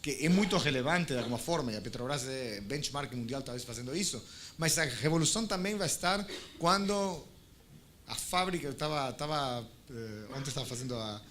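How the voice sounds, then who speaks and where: A man from Argentina with an Argentine Spanish accent, speaking on a conference panel, halting with frequent restarts and fillers. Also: mid-range voice, lively intonation, a bit bright, a little hiss or hum.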